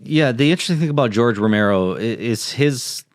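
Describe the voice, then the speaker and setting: A man's voice: mid-range voice, expressive, brisk, no echo, clean studio sound. A man hosting a podcast, moving between casual chat and reading out his notes. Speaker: podcast host.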